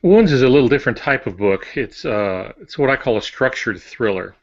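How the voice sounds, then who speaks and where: A man, a novelist, talking casually on a podcast in a measured, explanatory way. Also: mid-range voice, expressive. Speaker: thriller novelist, podcast co-host. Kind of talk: casual podcast discussion of writing. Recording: dull, lo-fi podcast audio.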